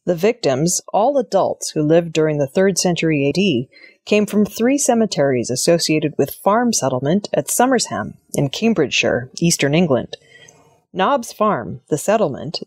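A woman with a fairly low voice reads prepared news stories in a measured, formal way. The recording is dry and close, with little sense of a room around her. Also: a little mellow, husky, dry recording. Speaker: news reader. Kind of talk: scripted news narration.

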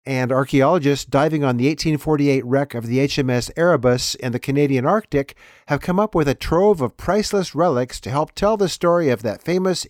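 A man's voice: mid-range voice, no echo, clean studio sound. A man delivering scripted announcements and headlines as the host of a program.

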